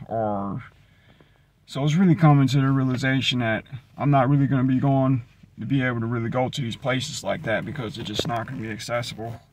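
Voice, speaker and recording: A young adult American man chatting casually as a vlogger, rambling and trailing off as he thinks aloud into a handheld phone. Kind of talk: casual rambling vlog chat. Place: mostly inside a van, partly in an empty mall. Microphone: phone at arm's length. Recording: noisy handheld recording with vehicle rumble.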